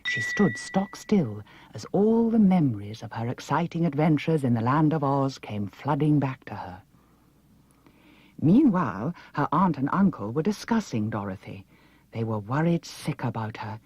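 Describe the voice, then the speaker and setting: A woman with a British accent reading a children's story as an expressive audiobook narrator. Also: a bass voice, moderate pace, breathy edge, no room sound. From United Kingdom.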